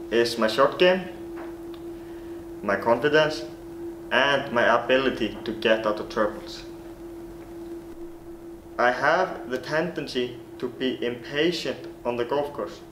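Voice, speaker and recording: A young man speaks in an earnest, rehearsed way, his showing on every phrase. His voice sits at an ordinary middle pitch. The camera mic picks up a roomy echo and a steady background hiss. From Iceland. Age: late teens. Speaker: golf recruit. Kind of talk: scripted self-introduction to coaches. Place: small plain room indoors.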